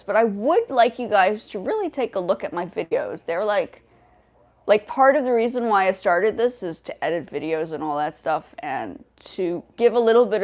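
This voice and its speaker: A young woman hosting a casual livestream, talking in a rambling way with many fillers and restarts. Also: mid-range voice. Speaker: livestream host.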